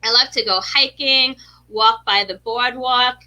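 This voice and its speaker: A woman's voice: on the high side, steady tone, unhurried, bright, forceful tone, low-quality recording. A woman with a New York accent, hosting a live stream and teaching viewers about gemstones in a chatty way, often asking "right?". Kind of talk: live-streamed educational talk.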